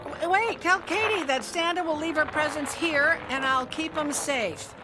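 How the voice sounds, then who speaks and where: A woman's voice: high-pitched, a little slow, noisy background. An actress playing a lonely, unhappy older grandmother in a wistful, plaintive radio drama performance. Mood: disappointed. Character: lonely, unhappy grandmother alone on Christmas Eve.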